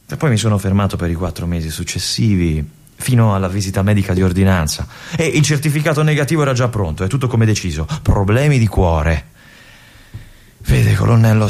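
A husky, disillusioned young lieutenant. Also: male voice, on the low side, darkish tone. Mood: confiding. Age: young adult. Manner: confiding, pleading, with weighty pauses. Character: young army lieutenant at a remote fortress, disillusioned. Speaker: stage actor. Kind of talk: theatrical monologue addressed to a colonel.

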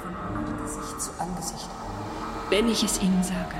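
Woman acting a solemn medium lady, declamatory, lots of reverb, music really loud behind, radio drama. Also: on the low side, fairly even intonation, normal speed, natural voice. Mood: solemn, insistent. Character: solemn lady spirit medium, devoted wife.

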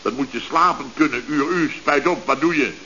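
An actor playing a bossy, easily panicked contractor boss in an exasperated, sputtering 1970s Dutch radio comedy style, with a Netherlands accent. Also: male voice, mid-range voice, moderate pace, bright, forceful tone, lightly acted. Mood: exasperated, commanding. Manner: exasperated, commanding, exclamatory, sputtering. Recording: dull, hissy old radio recording.

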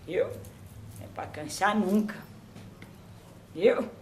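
A woman's voice: mid-range voice, close to an everyday voice. Old grandmother spirit, patient counselor, trailing phrases, mid voice, faint hiss, as a woman channels her. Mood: counseling. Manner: slow, repeats "my son", trailing off softly. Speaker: spirit medium.

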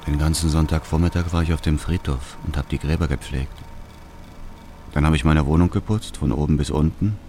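An actor playing a cold, vengeful shopkeeper in a radio drama, speaking in a controlled, detached and formal way. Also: male voice, rumbling low, steady tone, fairly quick, mellow. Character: cold, vengeful male shopkeeper, a bereaved crime victim. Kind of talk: radio drama monologue and dialogue. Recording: clearly noisy ambience behind voice.

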